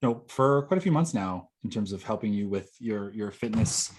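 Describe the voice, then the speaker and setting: A man's voice: fairly low pitch, lively intonation, a little fast, breathy edge. A man hosting a podcast who welcomes his guest and asks friendly, loosely phrased questions. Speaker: podcast host. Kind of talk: podcast interview.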